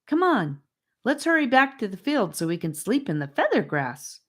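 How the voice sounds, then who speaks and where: Woman as a high, loud, teasing young boy. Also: very animated, moderate pace. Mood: carefree teasing. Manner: loud, bouncy calling out. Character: playful, teasing boy, carefree friend in a fantasy audiobook.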